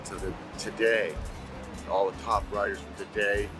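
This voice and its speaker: Low voiced guy talking up the event, loud music behind. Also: level tone, moderate pace. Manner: enthusiastic, plain. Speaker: festival-goer. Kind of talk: casual praise.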